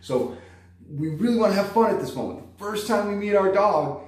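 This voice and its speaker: A man's voice: sits high, expressive, a little fast, a little mellow. A man in his thirties, a dog trainer, giving practical tips in a vlog and checking in with "okay?" after each point. He talks straight to the camera from an indoor room. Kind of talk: instructional vlog. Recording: very echoey room.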